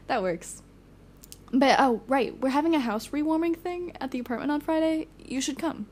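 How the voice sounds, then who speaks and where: A woman's voice: high voice, moderate pace, a little mellow, natural voice. An actress playing a weary, frank young woman, a supportive friend, in casual audio drama dialogue. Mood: friendly. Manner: casual, candid.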